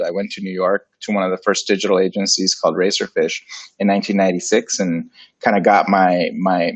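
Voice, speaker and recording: A man in his forties, a native Spanish speaker of Puerto Rican and Dominican background, telling his career story as an interview guest in a relaxed, playful way. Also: deep voice, breathy edge. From Puerto Rico and Dominican Republic. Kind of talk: casual interview. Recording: dull lo-fi sound.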